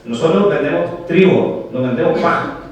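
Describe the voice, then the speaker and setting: A man's voice: on the high side, expressive, moderate pace, slight background noise. A man from Chile with a Chilean Spanish accent, giving a technical conference talk and often checking in with "¿vale?" and rhetorical questions to the audience. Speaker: agronomy speaker. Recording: very echoey hall, voice heard from far off.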